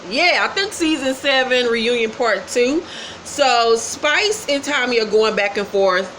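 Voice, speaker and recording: A woman's voice: a little high, expressive, measured pace, noisy background. A woman hosting a casual gossip recap, blunt, sassy and profane. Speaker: host.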